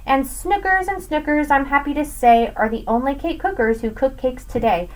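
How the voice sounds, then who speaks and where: High-voiced woman reading rhymes aloud. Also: moderate pace. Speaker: teacher reading a picture book aloud. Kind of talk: expressive read-aloud of rhyming children's verse. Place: small indoor room with bookshelves.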